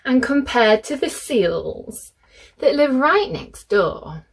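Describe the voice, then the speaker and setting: Animated, high-voiced woman reading a storybook. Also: creaky, slightly rough, low-quality recording. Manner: animated, deliberate, addressing young listeners directly. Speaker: storyteller reading picture books aloud to children. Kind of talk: expressive children's read-aloud.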